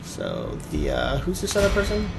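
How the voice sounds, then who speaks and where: A man's voice: sits high, huge pitch swings, moderate pace, gravelly. A man co-hosting a gaming podcast, chatting casually and making wry jokes while he plays. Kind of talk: casual joking chat over co-op gameplay. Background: loud game music, gunfire.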